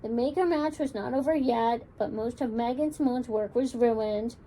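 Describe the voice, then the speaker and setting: A woman children's librarian reading a picture book aloud with expressive, lilting storytelling. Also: a little high, moderate pace, slight background noise, poor audio.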